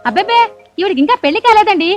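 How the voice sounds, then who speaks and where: A chattering bride's relative. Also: female voice, very high voice, animated, racing, faint music behind. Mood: chatty. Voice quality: rattles off explanations.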